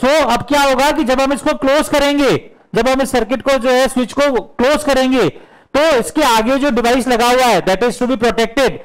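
A man's voice: very high voice, normal speed, smooth, poor audio. A middle-aged Indian man with a headset mic, teaching electrical engineering at a whiteboard and answering his own questions as he explains. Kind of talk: whiteboard lecture mixing Hindi and English. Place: indoor classroom studio with whiteboard.

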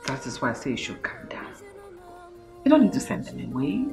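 A woman with a Nigerian accent playing a restrained queen mother in a film drama, calming others in a hushed voice. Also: very deep voice, fairly quick, gravelly, soft background music, exaggerated voice. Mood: soothing, firm.

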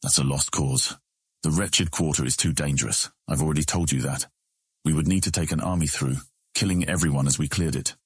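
A man voicing a scheming, self-assured guildmaster with a husky voice and a formal, insistent manner in a fantasy audiobook. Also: fairly even intonation, fairly quick, no echo, clean studio sound. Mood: firm, impatient. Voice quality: husky, very deep. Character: scheming, self-assured male guildmaster, uneasy under pressure. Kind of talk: audiobook character acting.

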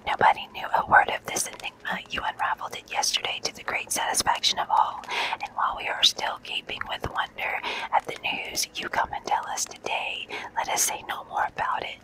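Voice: brisk, lightly acted, poor audio. Reader voicing a whispering, boastful musketeer man. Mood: indignant. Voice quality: breathy whisper. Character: vain, boastful, self-sufficient male musketeer.

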